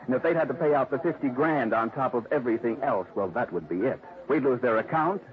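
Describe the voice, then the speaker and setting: An actor playing a scheming ad man in a 1950s radio drama, talking slow, cool and menacing. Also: mid-range voice, animated, a little hiss or hum, natural voice. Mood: cool menace. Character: scheming male ad man, smooth and cold. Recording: tinny 1950s radio broadcast.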